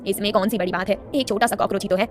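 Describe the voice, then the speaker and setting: A woman's voice: medium pitch, fairly even intonation, very fast, faint music behind, lightly acted. A woman voicing an older, motherly nanny in an audio story, fondly scolding with an exasperated, protective manner. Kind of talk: dramatised audio story dialogue.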